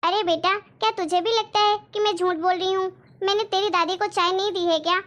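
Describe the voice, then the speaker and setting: Frustrated daughter-in-law voiced by a woman, arguing at length, very high pitch. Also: fairly even intonation, brisk, a bit bright. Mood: exasperated. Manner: exasperated, arguing, explaining at length. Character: exasperated young daughter-in-law, put-upon.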